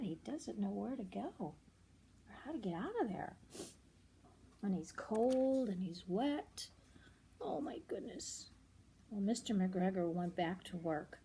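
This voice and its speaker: An older woman reading a children's picture book aloud, expressive and often hushed, pausing for asides about the pictures. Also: medium pitch, husky.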